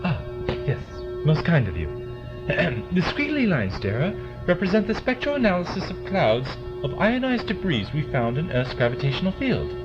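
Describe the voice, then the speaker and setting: A fussy, eccentric scientist played by a man lectures with precise understatement. His slightly high voice swoops dramatically up and down, in a lo-fi science fiction audio drama. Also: huge pitch swings, normal speed. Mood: pedantic. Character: pedantic, genial eccentric male scientist and time traveller. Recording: lo-fi audio under loud music.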